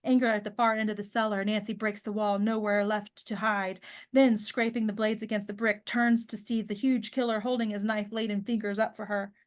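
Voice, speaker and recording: A woman's voice: medium pitch, flat delivery, a little fast. A woman narrating screenplay directions at a table read, now and then stumbling over words and rereading them. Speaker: narrator reading stage directions.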